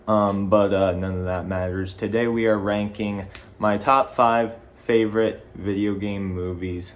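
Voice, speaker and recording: A man's voice: deep voice, a little hiss or hum. A fifteen-year-old boy casually hosting a video in a rambling way, often correcting himself. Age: teens, fifteen. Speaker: video blogger, host. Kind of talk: casual chat ranking video game movies.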